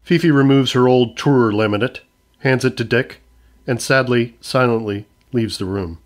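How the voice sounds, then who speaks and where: A man's voice: medium pitch, moderate pace. A man reading scripted narration for a comedy audio drama. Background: music.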